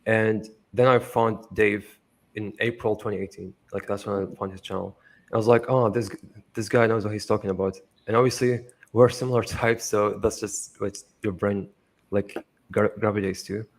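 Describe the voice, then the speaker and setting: A young man from Iraq speaks in a lowish voice, telling his story in a loose, rambling way. He fills his talk with fillers and curses casually. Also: steady tone, normal speed. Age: young adult. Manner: rambling, lots of "like" fillers, swears freely. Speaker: interview guest. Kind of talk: casual conversational interview.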